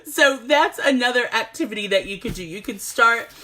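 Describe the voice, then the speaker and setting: A woman's voice: fairly high pitch, expressive, moderate pace, sharp tone. Chatty music teacher woman. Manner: chatty, laughs often, many fillers. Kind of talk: casual instructional video. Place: at home.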